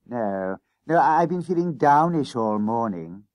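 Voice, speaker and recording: A man's voice: mid-range voice, expressive, measured pace, darkish tone, dry recording, lightly acted. A man as a timid, hesitant little schoolboy. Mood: miserable. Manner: hesitant, anxious, stammering over words. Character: timid, law-abiding, homesick small schoolboy.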